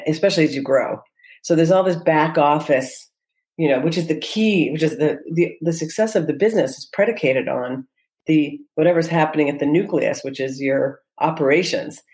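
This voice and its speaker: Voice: medium pitch, darkish tone. Earnest entrepreneur full of restarts. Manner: earnest, with many restarts and fillers. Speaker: entrepreneur guest. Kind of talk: reflective interview answers.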